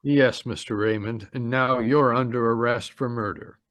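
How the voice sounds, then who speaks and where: A man's voice: medium pitch, fairly even intonation, moderate pace, a bit bright, no echo, natural voice. A man acting a stern, formal homicide lieutenant in an old-time radio drama. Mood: matter-of-fact, firm. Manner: formal, authoritative. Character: stern homicide lieutenant, masterminding a trick confession.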